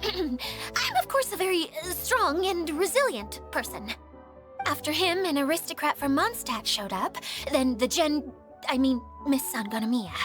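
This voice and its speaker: High, timid, polite little game mascot. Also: female voice, sing-song, a little slow, over music. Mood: flustered, sheepish. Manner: hesitant, polite, apologetic. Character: timid, formal, easily flustered little female mascot caretaker. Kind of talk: video game character dialogue.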